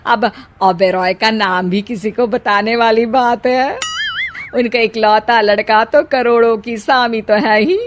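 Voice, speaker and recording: Storybook bragging auntie, high drawn-out exclamations, voiced by a woman. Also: over music, close to an everyday voice. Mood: boastful. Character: boastful chattering aunt of the bride.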